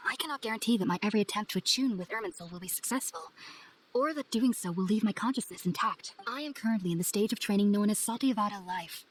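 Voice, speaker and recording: A woman playing an earnest, polite young scholar in a video game, speaking in a formal, measured, articulate way. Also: mid-range voice, lightly acted. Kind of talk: video game cutscene acting.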